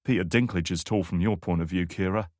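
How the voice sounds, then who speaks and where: A sardonic British soldier tosses off dry retorts in a low voice, as a male narrator plays him. He speaks with a British accent in a close, dry audiobook read. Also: a little fast, no echo.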